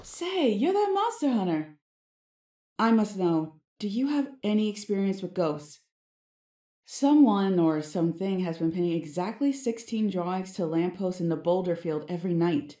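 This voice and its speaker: A woman's voice: on the low side, expressive, moderate pace, dark tone, lightly acted. A woman playing a curious, uneasy ghost investigator in a video game, earnest and inquisitive. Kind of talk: video game character dialogue. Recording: roomy echo, faint music behind.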